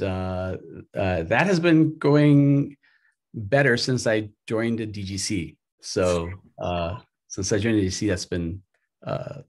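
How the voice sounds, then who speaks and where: A man's voice: fairly low pitch, a little mellow. A man from Afghanistan, a filmmaker, talking hesitantly on a video-call panel with many fillers. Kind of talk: casual panel talk. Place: home room, video call.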